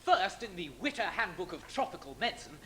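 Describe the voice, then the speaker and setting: An amateur actor playing a pirate's fawning, pedantic parrot in a stage pantomime, polite and bookish. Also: male voice, sits high, sing-song, a little fast, a bit bright. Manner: polite, bookish, literal-minded. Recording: noisy live stage recording.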